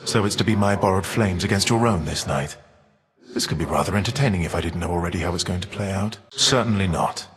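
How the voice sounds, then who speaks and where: An actor playing a proud, vengeful, defiant Titan of fire in a video game, speaking with grave, haughty, archaic formality. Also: male voice, deep voice, quick pace, lightly acted. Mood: haughty taunting. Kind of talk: dramatic video game villain voice acting. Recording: long reverb tail.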